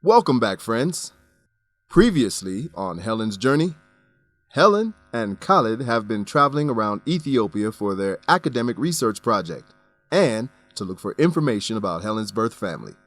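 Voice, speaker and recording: A man's voice: fairly low pitch, sing-song, moderate pace, a bit bright, no echo. A man narrating a radio drama as its host, with scripted delivery. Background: music.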